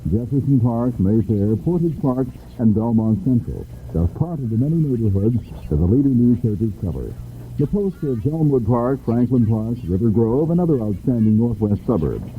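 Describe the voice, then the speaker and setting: Lively male ad announcer, tinny radio. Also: medium pitch, moderate pace. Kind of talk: scripted 1970s local radio ad read. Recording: tinny old radio with faint noise.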